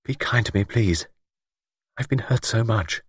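A man's voice: medium pitch, sing-song, extremely slow, husky, no echo, clean studio sound, voice pushed up, heavily acted. A man voicing a frightened young woman who speaks faintly in a small, childlike voice.

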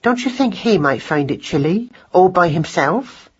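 A man plays a storybook mother mouse who rules her family. She is sharp-tongued, curt and protective, with a breathy, husky voice that swings widely in pitch. The audio is a little dull. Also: expressive, unhurried, full character voice. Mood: indignant. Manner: curt, icy to her husband, soothing to her child. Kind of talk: children's audiobook character voice.

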